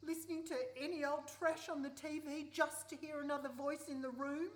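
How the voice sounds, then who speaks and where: A woman's voice: high voice, flat delivery, fairly quick, lightly acted. A woman playing a stubborn, lonely widowed older mother in a live radio play, wry and reproachful. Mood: lonely, bitter. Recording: echoey live theatre recording.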